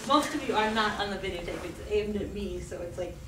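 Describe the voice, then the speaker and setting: A woman's voice: medium pitch, fairly quick, over a noisy crowd. A woman teaching a school class informally, prompting students with questions and repeating their answers. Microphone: distant video camera in the room. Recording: distant mic, echoey room, clear background noise. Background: classroom noise.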